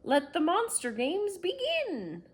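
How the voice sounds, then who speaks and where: Excitable male storybook monster, high and exclamatory, joyful announcer woman reader acting it out. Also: full character voice. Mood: excited announcing. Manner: exclamatory, announcing, drawn-out horn-like hoots.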